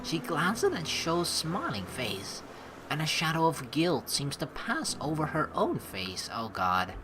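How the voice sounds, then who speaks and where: Animated low-voiced woman doing let's play commentary. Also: slow, background noise. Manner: animated, chatty, theatrical reactions. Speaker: gaming video host. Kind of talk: let's play commentary and read-aloud game narration. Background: faint game music.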